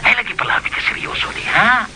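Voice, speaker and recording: A man playing a playful, teasing best buddy in a radio drama, with an animated delivery. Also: sits high, brisk, lightly acted. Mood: earnest. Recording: faint noise behind the voice.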